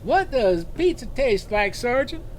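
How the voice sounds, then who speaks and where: A man's voice: extremely high pitch, big pitch swings, measured pace, over loud music, heavily acted. A man playing a wistful, huggable stuffed bear come to life in an audio drama, folksy and childlike. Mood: hopeful. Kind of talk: cartoonish audio drama acting.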